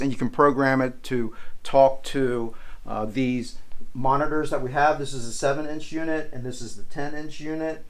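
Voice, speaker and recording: A man in his forties giving an informal product demonstration, explaining step by step in a plain-spoken way. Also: mid-range voice. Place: small indoor office room, standing behind a demo table.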